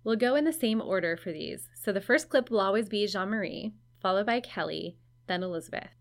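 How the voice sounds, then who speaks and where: A woman hosts a podcast and narrates in an easy, conversational way. She is lightly self-deprecating and keeps a relaxed pace. Her voice sits at an ordinary middle pitch. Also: fairly quick. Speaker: podcast host.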